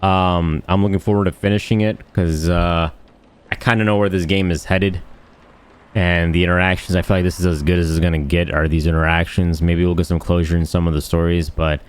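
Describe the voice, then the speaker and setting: A man's voice: deep voice, flat delivery, fairly quick, clear, resonant tone. A man giving casual, unscripted gaming commentary in a blunt, rambling, slangy and crude way. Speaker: gaming video host.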